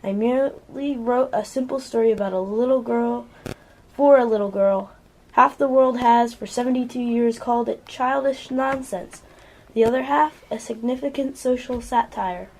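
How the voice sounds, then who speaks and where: A woman's voice: on the high side, moderate pace, a little mellow, low-quality recording. A female amateur narrator reading scripted storybook narration for a radio play.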